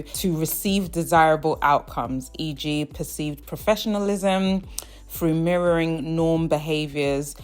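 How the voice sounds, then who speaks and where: Low-voiced chatty woman vlogger. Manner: chatty, often checks in with the viewer. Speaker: vlogger host. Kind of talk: casual personal vlog monologue.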